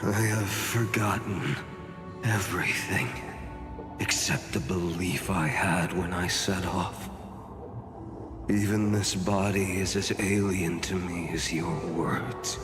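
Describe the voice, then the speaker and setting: Deep, echoing, weary warrior. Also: male voice, fairly even intonation. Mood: weary, grim. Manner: slow, halting, somber. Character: weary, forgetful, grim male warrior bearing a burden. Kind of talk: dramatic video game voice acting. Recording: long hall reverb, music behind.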